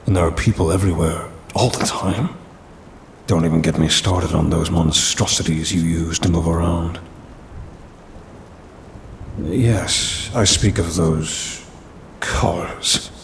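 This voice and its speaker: A very low, unhurried voice of an ancient male demon, acted by a man for an ASMR audio drama. He speaks formally and with haughty, dry grumbling, possessive but caring underneath. Faint rain and thunder sit behind him. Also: background noise. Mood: grumbling, disdainful. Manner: formal, pompous, dry complaining. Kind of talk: scripted audio roleplay, ASMR.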